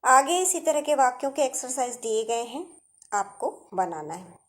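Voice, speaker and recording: A woman's voice: high voice, sing-song, a little slow. A woman with an Indian accent giving a step-by-step grammar lesson as a teacher, addressing her students directly.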